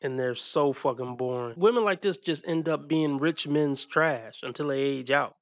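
A man's voice: a little high, normal speed, no echo. A man giving blunt, mocking reaction commentary on video clips.

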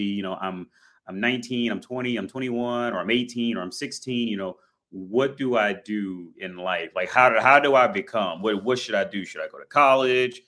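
An American man in his thirties gives an unscripted talk to camera. He rambles, restarts his sentences and leans on fillers, and he swears bluntly and freely. His voice sits at an ordinary middle pitch for a man. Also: sharp tone. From United States. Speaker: vlogger. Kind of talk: casual monologue to camera.

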